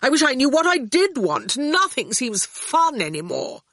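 A woman's voice: high-pitched, big pitch swings, moderate pace, fairly bright tone, no echo, clean studio sound, voice pushed up. A woman reading an audiobook, voicing a haughty, mocking young male sorcerer in a raised, sarcastic voice.

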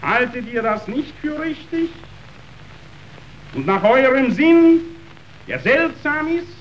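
Grandiose tycoon, voice swoops all over, high and declamatory, self-pitying, radio play. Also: male voice, expressive, slow pace, heavily acted. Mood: theatrical, shaken. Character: ruthless meat magnate, grandiose, sentimental, self-justifying. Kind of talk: theatrical verse radio drama. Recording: dull radio recording, faint noise.

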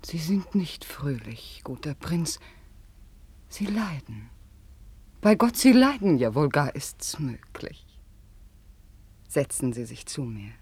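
Slow, husky, passionate young princess. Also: female voice, sits low, very animated, exaggerated voice. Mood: tender, probing. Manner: theatrical, intense, swinging between hushed and impassioned delivery. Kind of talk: 1950s German radio play, classical verse acting. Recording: dry 1959 radio studio recording.

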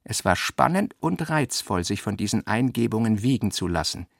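A man reading scripted literary narration for a radio production, with a creaky voice in a measured, reflective manner. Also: fairly low pitch, very animated, no room sound, clean studio sound.

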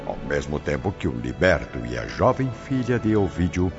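A man's voice: extremely low pitch, very animated, moderate pace, music in the background. A man narrating a radio drama from a script in a solemn, theatrical way. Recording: dull lo-fi recording. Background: loud musical score.

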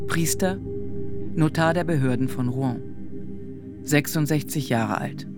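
A man narrating a documentary-style radio drama in a measured, factual way, as its announcer. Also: mid-range voice.

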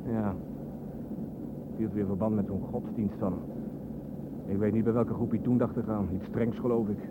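A man's voice: on the low side, level tone, normal speed, lightly acted. An actor playing a bitter, sardonic adult son in a radio drama, brooding as he talks. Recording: narrow phone-like sound over vehicle noise.

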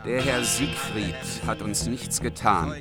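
An actor playing a courteous, conciliatory noble knight, composed and diplomatic, in a German radio drama. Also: male voice, on the low side, expressive, slow pace, loud music behind the voice. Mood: placating.

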